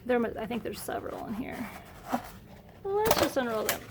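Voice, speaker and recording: A woman's voice: a little high, sing-song, moderate pace, heavy background noise. A middle-aged woman narrating a casual home unboxing in a chatty, upbeat, enthusiastic way. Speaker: homeschool vlogger. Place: indoor room at home.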